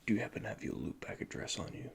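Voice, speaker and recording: A man whispering softly and methodically as he plays a repair technician in an ASMR roleplay, recorded close to the microphone. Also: very fast, noisy background. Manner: soft, calm, methodical, speaks in technical jargon. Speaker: ASMR creator playing an android repair technician.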